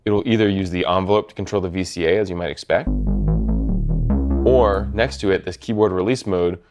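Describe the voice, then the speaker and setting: A man's voice: sits low, steady tone, fairly quick, loud music behind the voice. A man giving a methodical, matter-of-fact product demonstration as a presenter. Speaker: product presenter from a synth maker. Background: synthesizer tones.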